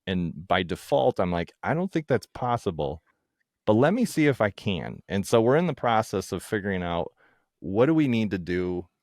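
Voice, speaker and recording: A man speaking casually as an interview guest, candid and informal, thinking out loud as he goes. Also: on the low side, sing-song, moderate pace, dry recording, studio quality. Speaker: guest, founder of a podcast production company.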